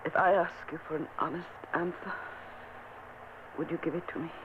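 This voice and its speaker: A woman's voice: low voice, steady tone, brisk, natural voice. An actress playing a dying, secretive noblewoman who sounds weak yet defiant, in vintage radio drama style. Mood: frail. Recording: tinny old radio recording with hiss.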